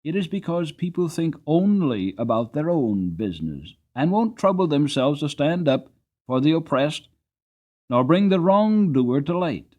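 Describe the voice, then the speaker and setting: Guy doing a righteous storybook gentleman, earnest and emphatic, kinda preachy. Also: on the high side, very animated, unhurried, dull audio, voice pushed up. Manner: emphatic, moralizing. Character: principled, earnest gentleman who challenges cruelty. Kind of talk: dramatic audiobook character reading.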